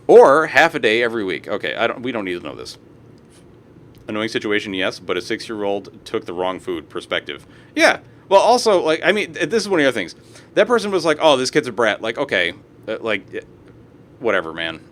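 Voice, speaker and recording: A man's voice: mid-range voice, sing-song, fairly quick, a bit bright. Young guy streamer ranting and swearing, voice bouncing all over the place. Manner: profane, ranting, reads posts aloud with asides. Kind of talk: live stream commentary on reader posts.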